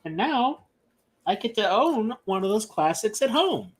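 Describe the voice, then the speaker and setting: A man's voice: sits high, big pitch swings, a little slow. Man, podcast co-host, casual chat, bantering, teasing.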